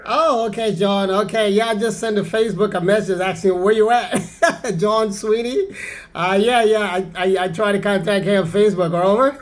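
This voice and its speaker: A man's voice: high-pitched, steady tone, normal speed. A man working as an amateur radio operator, chatting in a casual, easygoing way. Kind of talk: casual radio contact chat.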